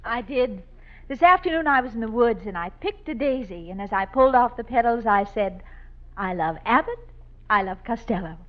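Woman playing a high-voiced, sassy secretary, American. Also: animated, moderate pace, natural voice. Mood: coy. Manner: pert, teasing comebacks. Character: sassy, flirtatious secretary who teases her suitors. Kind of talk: 1940s radio comedy acting. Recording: muffled old radio recording.

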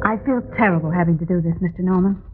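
A woman's voice: fairly low pitch, rapid-fire, music in the background, close to an everyday voice. An actress plays a wronged, anxious wife in a vintage radio play. Her delivery is hesitant and wounded, with theatrical weight. The old recording is thin and narrow, almost like a telephone. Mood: troubled, ashamed. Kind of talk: old-time radio drama acting. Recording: tinny, band-limited old radio recording.

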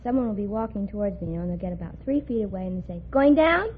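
A woman's voice: mid-range voice, lively intonation, rapid-fire. A woman with an American accent answering chattily as a contestant on a radio quiz. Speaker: quiz contestant, elevator operator. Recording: tinny, noisy vintage radio with audience.

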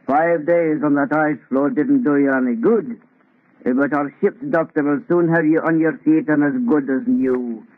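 A man playing a brisk, kindly and authoritative ship's captain in an old radio drama. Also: fairly high pitch, close to an everyday voice. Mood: kindly, reassuring. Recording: muffled lo-fi old radio recording.

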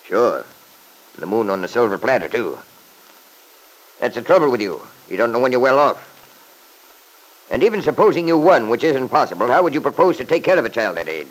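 A wealthy, stubborn older father from an old-time radio drama. He speaks in an imperious, blustering way, his pitch swinging widely as he lays down the law. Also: sing-song, brisk, pauses often, poor audio. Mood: scornful, threatening.

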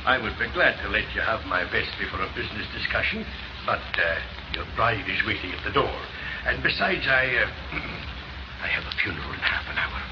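Low-voiced, dithering, fussy reverend. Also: male voice, sing-song. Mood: flustered politeness. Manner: hesitant, genteel, halting with many uhs. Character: absent-minded, fussy, mild clergyman. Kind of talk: 1940s radio acting. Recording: muffled, very noisy old radio recording.

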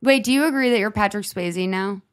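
A woman's voice: medium pitch, fairly quick. A woman in her thirties hosting a podcast, gabbing and teasing in casual chat, full of "like" fillers. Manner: gabby, teasing, lots of "like" fillers. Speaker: podcast host.

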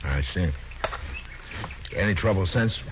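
A very deep, measured frontier marshal for a 1950s radio western. He is steady and fair, talking plainly and mildly. The old recording is narrow and somewhat noisy. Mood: calm, probing.

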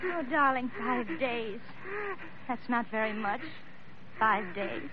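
An actress playing a tender young heroine in love, pleading emotionally in old radio melodrama style. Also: female voice, a little high, slow pace. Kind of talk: 1940s-50s radio melodrama acting. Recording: dull old radio recording.

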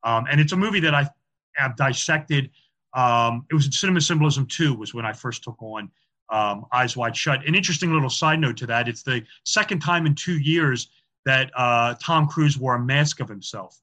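A man's voice: mid-range voice, moderate pace, pushed and bright. A man talking as a podcast guest about film symbolism, chatty and explanatory, with frequent fillers and repeated words. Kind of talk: casual interview talk.

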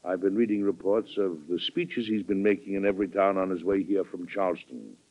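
An actor playing a measured, authoritative American president in a classic radio drama, speaking formally and deliberately as a calm mediator. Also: male voice, low-pitched. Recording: dull old radio recording, music behind.

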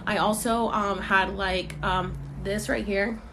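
A woman's voice: mid-range voice, fairly even intonation, slow pace, noisy background. A young woman from Puerto Rico hosting a podcast, chatting loosely through comments on a live stream from her phone, full of ums and likes and casual swearing. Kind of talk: casual rambling chat. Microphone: phone during a live stream.

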